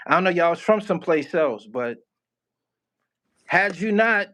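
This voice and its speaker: Easygoing interviewer guy, slightly high voice, questions everything, casual slang. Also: lively intonation, a little slow, bright, forceful tone. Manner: laid-back, challenging, laughs and pushes back.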